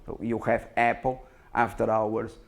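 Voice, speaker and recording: A man presents market commentary as an analyst selling his own track record. His voice sits fairly low with a strong fry in it. English is not his first language, and he speaks haltingly, leaning hard on his points with plain self-assurance. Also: little pitch movement. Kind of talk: self-promotional market commentary over slides.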